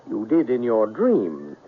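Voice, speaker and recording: An actor playing an amused, theatrical interstellar traveller in a 1970s radio drama. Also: male voice, fairly low pitch, breathy edge, heavily acted. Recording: tinny, noisy old radio broadcast.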